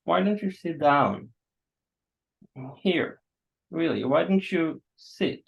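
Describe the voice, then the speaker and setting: A man acting a gentle, awkward, solicitous host in a staged play reading, hesitant and coaxing. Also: fairly high pitch, lively intonation, slow pace, gravelly, heavily acted. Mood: concerned, coaxing. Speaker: actor. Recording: dull, muffled sound.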